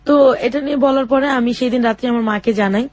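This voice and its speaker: A young woman telling her own life story in an interview, speaking haltingly with many fillers and restarts. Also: a little high, a little mellow, no echo, highs cut off. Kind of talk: personal testimony in a radio interview.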